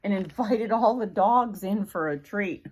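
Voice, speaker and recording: A woman's voice: mid-range voice, unhurried, breathy edge. An older woman reading a children's storybook aloud expressively, with dramatic emphasis and asides to young listeners. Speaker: grandmother reading a picture book aloud.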